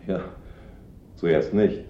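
A man's voice: extremely slow, roomy sound, muffled recording. An actor playing an uneasy, evasive working man giving hesitant witness answers in a German radio play. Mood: guarded.

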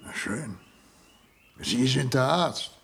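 An actor playing a polite, doubtful physics professor in a German radio drama, courteous and questioning, with some hesitation. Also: male voice, mid-range voice, slow, gravelly. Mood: sceptical. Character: polite, doubtful male physics professor, anxious about risk.

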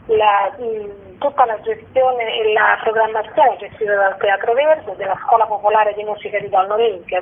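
A woman with a husky, slightly rough voice at a middle pitch answers as a radio interview guest. She speaks informally as a theatre representative. The sound is narrow and tinny, as on a telephone. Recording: narrow tinny phone line.

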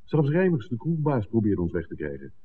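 Shrewd excitable filmmaker, 60s radio play, swoopy delivery, long echo on a phoney old recording. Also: male voice, fairly low pitch, brisk, phone-quality sound. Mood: agitated, reasoning. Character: John, shrewd excitable filmmaker piecing together a smuggling plot. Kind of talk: 1960s Dutch radio drama acting.

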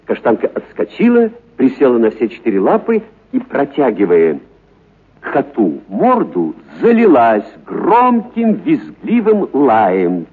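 A man narrating a literary story for radio in an expressive, dramatic actor's delivery. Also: mid-range voice, slow pace, breathy edge. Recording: muffled narrow-band radio recording.

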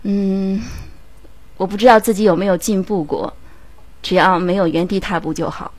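A woman's voice: medium pitch, fairly even intonation, normal speed, no echo. A woman guest speaking reflectively and earnestly in a casual online chat. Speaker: amateur voice actress, guest.

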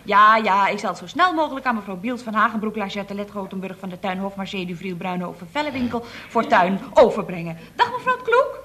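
An actress playing an inquisitive office secretary in a radio comedy, with a theatrical, dry manner. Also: female voice, medium pitch, lively intonation, brisk, hardly any pauses, pushed and bright, slight background noise. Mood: officious, singsong. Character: chatty, dryly questioning female office secretary. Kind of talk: 1970s Dutch radio comedy acting.

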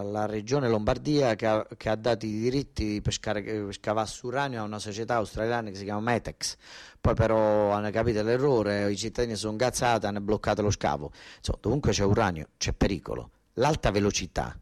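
A man's voice: lowish voice, a little mellow. A man with a southern Italian accent performing a theatrical, colloquial monologue, emphatic and insistently repeating words as he explains. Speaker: solo stage actor and storyteller.

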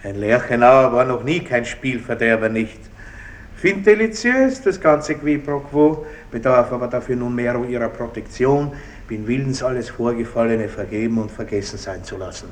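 A man's voice: medium pitch, normal speed, natural voice. Man playing a pompous blustering baron, distant recording. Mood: oily, conciliatory. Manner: pompous, old-fashioned aristocratic phrasing mixed with French. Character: blustering country baron, vain suitor giving up the game. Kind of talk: 1960s German radio drama acting. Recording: distant, faintly noisy old radio recording.

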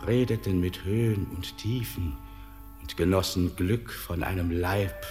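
An actor playing an ancient, world-weary, uncanny old miner, declaiming solemnly and portentously in a 1940s German radio play. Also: male voice, sits low, a little slow. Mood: solemn, fatalistic. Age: older. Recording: long hall reverb, music behind, 1940s radio.

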